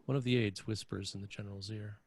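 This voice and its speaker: Male table-read narrator: deeper, husky, dry sound, scripted delivery of stage directions. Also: fairly even intonation, fast.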